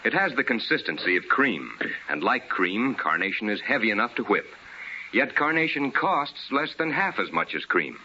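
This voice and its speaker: A male radio announcer with a low, very forceful voice. His delivery is polished, formal and salesmanlike, in 1950s style, with big swings of intonation. The vintage recording is dull and slightly noisy. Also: very animated, normal speed.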